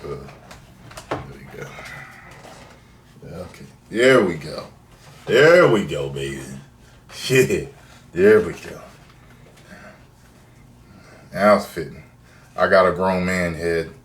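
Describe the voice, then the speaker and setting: A man chats casually to viewers in a roomy space with faint noise. His low voice swings up and down in a lively way, playful and full of slangy exclamations. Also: takes it slowly, pauses often, distant mic. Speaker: video host. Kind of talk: casual unboxing chat.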